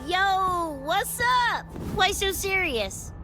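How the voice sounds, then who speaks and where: A brash young female mercenary in a cyberpunk game. Her high voice is lively and unhurried, full of streetwise slang and cocky swagger. Music plays underneath. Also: exaggerated voice. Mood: cocky, playful. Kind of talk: animated video game voice acting.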